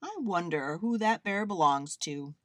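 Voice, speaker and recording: Woman playing an expressive, musing young male artist. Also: lowish voice, measured pace, dry recording, studio quality. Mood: puzzled. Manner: musing, with hums and exclamations. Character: young male artist, thoughtful, kindly and easily inspired.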